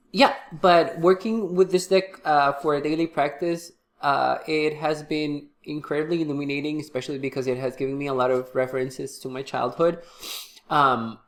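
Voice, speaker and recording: Congested man with a gravelly voice. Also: mid-range voice. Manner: halting, many fillers and restarts. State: congested from a cold. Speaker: tarot reader and channel host. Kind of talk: casual chat to camera.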